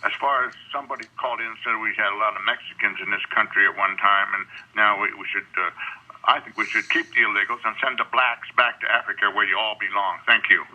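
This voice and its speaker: Guy giving his political take over the phone, lowish, muffled line. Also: steady tone, fast, bright, forceful tone. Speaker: caller to a phone-in show. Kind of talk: political opinion monologue. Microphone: telephone.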